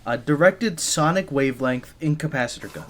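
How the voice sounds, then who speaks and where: A man's voice: a little high, lively intonation, normal speed, lightly acted. A man playing a shy, easily flustered gadget maker for a secret agent in an audio roleplay, speaking nervously and stammering. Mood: flustered, awkward. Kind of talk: scripted ASMR roleplay, one side of a dialogue.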